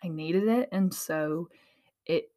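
Young adult woman, podcast host, hoarse and recovering, chatty and self-teasing. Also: on the low side, slow pace, dark tone. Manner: chatty and self-teasing, addressing listeners directly. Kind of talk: casual motivational podcast chat.

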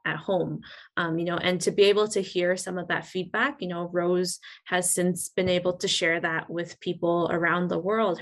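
A woman co-hosting a podcast, talking in a relaxed, thoughtful way with frequent ums and you-knows. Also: fairly low pitch. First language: English. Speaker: podcast co-host. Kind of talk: casual interview chat.

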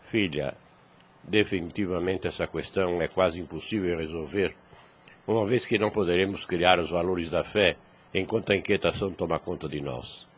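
A man's voice: low-pitched, normal speed, husky. An actor playing a wise, fatherly priest in a radio drama, solemn and preachy, in formal archaic phrasing. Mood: earnest, consoling.

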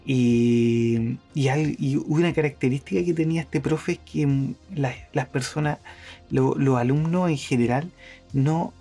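A man's voice: medium pitch, unhurried, warm tone, soft background music. A man hosting a podcast, talking through his thoughts in a casual monologue, hesitant, with many fillers and restarts. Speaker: podcast host.

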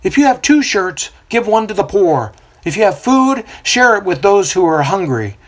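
Booming coarse male prophet, fierce and commanding, high and loud, breathy edge, put on by a storybook narrator. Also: very animated, moderate pace. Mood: urging. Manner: preaching, proclaiming loudly.

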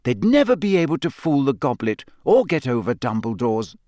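A man's voice: medium pitch, big pitch swings, moderate pace, dark tone, dry recording, studio quality, pitched above the performer's own voice, lightly acted. A man voicing an earnest, clever teenage schoolgirl who talks urgently and insistently.

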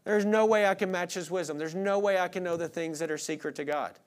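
Male pastor, late 30s, slightly high voice, plainspoken and informal sermon delivery. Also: quick pace. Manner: informal, self-deprecating, rhetorical questions to listeners. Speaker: pastor preaching. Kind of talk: expository sermon, conversational.

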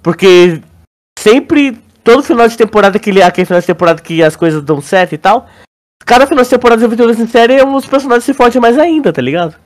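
A young man with a Brazilian accent chatting casually as a podcast co-host, in a slangy, halting way. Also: very high-pitched, lively intonation. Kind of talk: casual chat.

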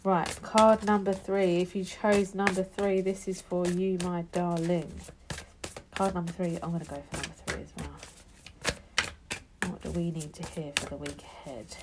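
A woman's voice: fairly low pitch, little pitch movement, measured pace, heavy background noise. A woman hosting an unedited tarot podcast, sounding nasal from a blocked nose, chatty and affectionate with listeners, sometimes dropping to a whisper. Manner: chatty, affectionate, calls listeners love and darling; drops to a whisper at times. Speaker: podcast host giving tarot readings. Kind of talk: casual unedited podcast chat. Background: card shuffling.

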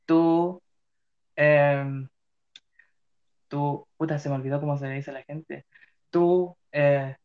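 A man's voice: fairly high pitch, fairly even intonation, takes it slowly, pauses often. A young Chilean man playing an interviewer, who rambles and searches for words. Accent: Chilean Spanish. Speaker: mock interviewer. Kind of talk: casual role-played interview. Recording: muffled, dull sound.

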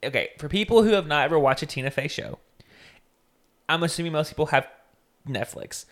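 A man chatting on a podcast with a lively, sing-song intonation that rises and falls a lot. He teases his co-host and gives his opinions in a relaxed, conversational way. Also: on the high side, fairly quick. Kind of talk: casual chat reviewing a TV show.

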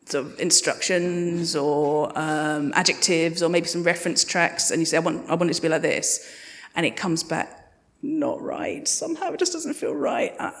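A woman's voice: sits low, lively intonation, moderate pace. A woman speaking conversationally as a guest sound designer on a live panel, answering audience questions. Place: live podcast festival stage in a hall. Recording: reverberant hall at a live event.

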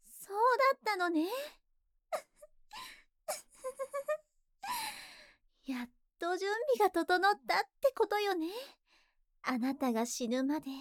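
A woman's voice: extremely high pitch, no echo, studio quality, full character voice. A woman playing a seductive, possessive spider monster in a Japanese ASMR voice drama, tender and coaxing, lingering on her words. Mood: elated. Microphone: close ASMR microphone in a dry studio.

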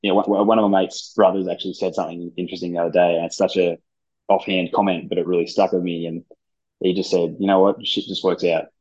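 A deep-voiced man with a husky edge chats casually as an interview guest, rambling and laid-back and dropping the odd swear word. The sound is dull and lo-fi. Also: level tone, fast talker, a little mellow. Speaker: gym founder and ice hockey player, guest.

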